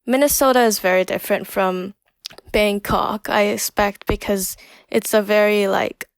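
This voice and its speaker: A woman's voice: mid-range voice, steady tone, moderate pace, vocal fry, clean tone, no room sound. A young woman, a guest on a podcast, chatting casually and laughing often. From Thailand. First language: Thai. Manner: giggly, frequent laughs and "like" fillers. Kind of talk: casual interview chat.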